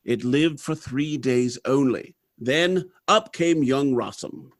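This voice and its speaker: A man's voice: medium pitch, animated, slow. An actor playing a proud, unsentimental robot factory manager in an old-time radio drama, theatrical and confident as he explains things. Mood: amused, lecturing. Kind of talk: live recreation of 1930s radio drama.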